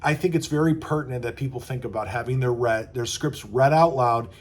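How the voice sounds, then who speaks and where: A man's voice: mid-range voice, a little fast. A man talking casually to camera as a screenwriting coach, conversational, acting out little quoted asides as he explains. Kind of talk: casual advice talk to camera.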